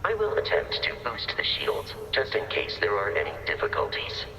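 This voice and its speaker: An actor playing a dutiful robot ship's assistant in a video game, formal, precise and deadpan. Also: male voice, sits low, normal speed, slight background noise, heavily acted, low-quality recording. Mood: calm, instructive. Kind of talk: scripted game dialogue.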